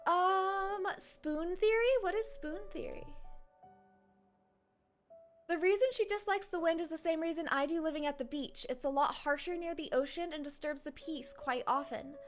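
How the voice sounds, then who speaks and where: A woman's voice: very high-pitched, normal speed, faint music behind. A woman streaming a game and talking casually, in a reflective, rambling, slangy way as she reacts to it. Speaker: live streamer playing a game.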